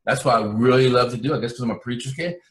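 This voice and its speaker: A man's voice: mid-range voice, quick pace, roomy sound. A man born in Cuba, talking as an interview guest in a loose, rambling way, full of restarts and fillers. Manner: rambling, animated, many restarts. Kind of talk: casual interview chat.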